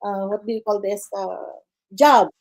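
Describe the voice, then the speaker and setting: An older woman from the Philippines with a Filipino accent, telling her life story as a guest in a casual interview, halting and full of fillers. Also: medium pitch, darkish tone. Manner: halting, many "uh" fillers, says "guys" and "okay" often.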